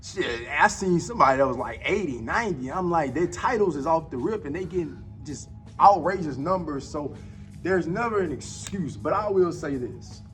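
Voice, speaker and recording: A man's voice: a little high, animated, normal speed, fairly bright tone, faint music behind. A man in his twenties hosting a podcast in casual chat, laid-back and full of slang like "bro" and "dog.". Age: 20s. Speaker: podcast host.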